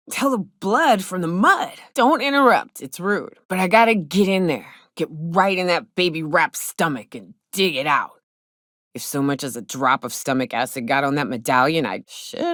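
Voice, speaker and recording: An actress voicing a brash, hard-drinking big game hunter in a video game, with folksy, slangy, sardonic talk. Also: female voice, mid-range voice, fairly bright tone, no room sound, clean studio sound. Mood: brash, tipsy, then grim, determined. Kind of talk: video game character dialogue.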